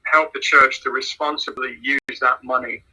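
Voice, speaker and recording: A man with an English accent, a missions pastor, answering interview questions in a measured way and often repeating words as he thinks. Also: medium pitch, flat delivery, sharp tone, slightly rough, poor audio. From England. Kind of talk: casual phone interview answers.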